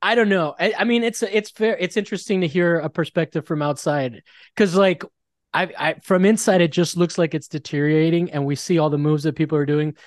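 A man's voice: a little high, steady tone, brisk, no room sound, studio quality. A young man from the United States hosting a podcast, speaking casually and laid-back, sprinkling in "like" and laughing often. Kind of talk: casual conversation.

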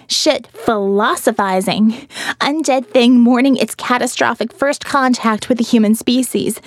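A woman reading a first-person diary as an audio novel narrator, in an expressive, reflective and wry manner, close on the mic. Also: fairly high pitch, dry recording. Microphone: close studio mic, dry.

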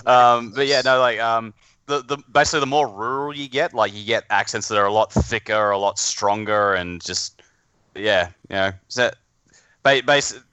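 A man's voice: on the low side, normal speed, sharp tone, clear, resonant tone, dry recording. A man with an Australian accent chatting casually as a podcast guest, often hesitating as he thinks aloud. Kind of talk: casual chat.

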